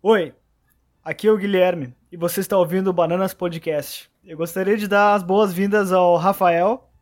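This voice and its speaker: Podcast host guy, kinda high voice, brisk intro, faint music under. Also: fairly even intonation, darkish tone. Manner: brisk, presenting and welcoming guests.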